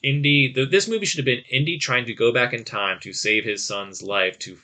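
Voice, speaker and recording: A man with a bright, forceful voice at an average pitch talks through a film as a podcast co-host. He is casual and rambles haltingly through the story, slipping into quick quoted lines as he goes. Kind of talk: casual movie review chat.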